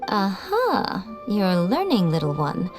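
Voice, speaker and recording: A woman voicing an elegant, condescending shrine familiar in a video game, sly and knowing, with a measured, teasing manner. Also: mid-range voice, wildly swooping pitch, loud music behind the voice. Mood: knowing, playful. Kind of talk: video game character acting.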